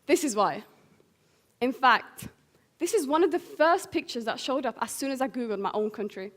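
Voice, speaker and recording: A woman's voice: high-pitched, lively intonation, moderate pace. A teenage young woman from Syria giving an earnest prepared public speech. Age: teens. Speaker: young guest speaker.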